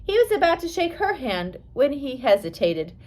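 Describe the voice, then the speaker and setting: A woman's voice: high voice, very animated, moderate pace, darkish tone. A woman reading a scripted biography aloud as an audiobook, with careful storytelling delivery. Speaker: audiobook reader.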